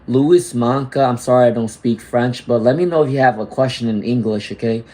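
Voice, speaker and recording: A man's voice: medium pitch, a little fast, darkish tone. A man giving live tarot readings, reading out viewer comments and often checking in with "all right?" and "okay?". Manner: reads out viewer comments and greets chatters. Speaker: tarot reader.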